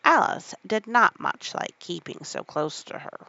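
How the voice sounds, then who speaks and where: A woman reading aloud in a drowsy, soft-spoken, rambling bedtime style, with casual asides. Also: medium pitch, very animated, measured pace, vocal fry, dry recording, dull audio.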